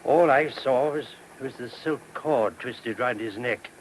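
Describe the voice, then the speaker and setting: A man's voice: mid-range voice, measured pace, close to an everyday voice. A man playing an anxious, courteous monk in a radio play, hesitant and apologetic in manner. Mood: troubled, earnest. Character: anxious, courteous monk guiding a police inspector. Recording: noisy old radio recording.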